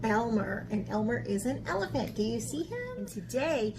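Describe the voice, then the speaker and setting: A woman hosting a children's picture-book read-aloud as an art teacher, speaking in an animated way and putting questions to young listeners. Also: fairly high pitch, moderate pace, noisy background.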